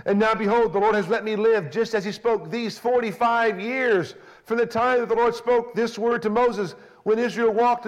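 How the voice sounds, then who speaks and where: A man preaching a sermon, swinging from soft, low emphasis to raised, excited lines, sometimes acting out a biblical speaker in first person. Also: low-quality recording. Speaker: pastor.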